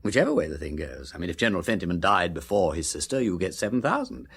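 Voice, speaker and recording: A breezy aristocratic sleuth with an upper-class English accent. The voice is low and husky, swinging wildly up and down in pitch. He drawls affectedly and tacks little questions onto his remarks, as in a radio play. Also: big pitch swings, fast talker, a bit bright, heavily acted. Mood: casual, reassuring. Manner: affected silly-ass drawl with tag questions. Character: aristocratic gentleman sleuth, breezy, chatty, shrewd underneath. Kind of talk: radio drama acting.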